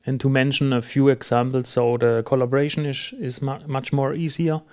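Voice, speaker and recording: Non guy chatting on a business podcast, mid pitch, agreeable, kinda halting while he finds words. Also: level tone, a little mellow, clear, resonant tone. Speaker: podcast host. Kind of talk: conversational business podcast discussion.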